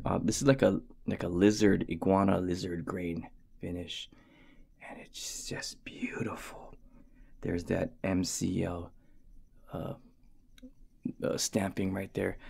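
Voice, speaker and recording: A man doing a goofy, excitable watch strap review at his desk, talking to himself and dropping into whispers. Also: medium pitch, vocal fry. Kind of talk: casual unboxing review. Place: indoors at a desk.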